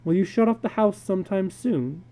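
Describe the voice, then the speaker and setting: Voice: medium pitch, lively intonation, brisk, dark tone, voice pushed up, lightly acted. A reader voicing a sullen, defiant young son in a dramatic story reading, petulant and coolly menacing. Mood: cold, defiant.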